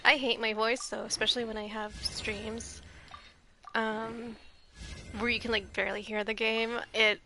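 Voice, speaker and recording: A woman with a soft, quiet voice, chatting casually while she plays a game. She is a little sleepy, holds her volume back, and laughs lightly and often. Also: a little high, little pitch movement, measured pace, noisy background. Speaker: streamer. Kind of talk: casual gameplay commentary. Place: home room, shared house.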